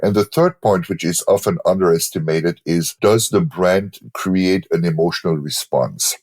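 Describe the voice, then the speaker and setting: Deep husky-voiced man with German accent. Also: moderate pace, a little mellow, no echo. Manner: explanatory, acts out imagined client voices. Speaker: guest, brand strategist. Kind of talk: conversational podcast interview.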